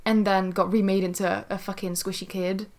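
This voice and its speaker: A woman's voice: mid-range voice, little pitch movement, moderate pace. A woman in a casual group chat as a podcast co-host, opinionated and sweary.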